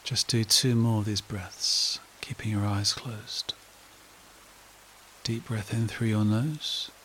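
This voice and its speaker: Man, meditation guide, lowish pitch, flat steady delivery, soothing, long pauses, soft rain behind. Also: a little hiss or hum. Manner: slow, hushed, soothing, repetitive phrasing with long pauses.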